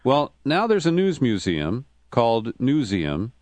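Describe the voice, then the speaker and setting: A man's voice: mid-range voice, wildly swooping pitch, measured pace, darkish tone, no room sound. A man hosting a radio interview, asking questions with a wry, dry humor.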